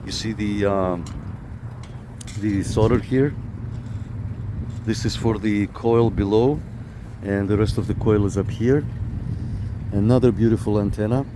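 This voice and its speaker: A man with a fairly low voice hosts a casual hands-on review. He talks informally, straight to the viewer. It is recorded on a handheld camera out by a parked car, with noticeable noise behind him. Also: fairly even intonation, unhurried, pauses often. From Canada. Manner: informal, addresses viewers directly. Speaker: video host reviewing radio gear. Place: outdoors in a parking lot and inside a parked car. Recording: noisy outdoor recording with car sounds.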